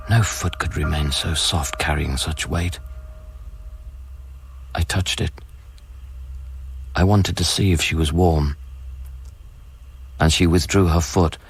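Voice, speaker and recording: An actor playing a haunted scientist in a radio play, narrating eerie events in a measured, brooding, literary way. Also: male voice, a bass voice, slightly rough, dry recording, exaggerated voice. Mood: haunted, hesitant. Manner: measured, brooding, literary, sometimes dropping to a whisper. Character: haunted male scientist recounting eerie events aboard space station. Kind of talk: dramatic first-person radio play narration. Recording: radio play with music underneath. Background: prominent music.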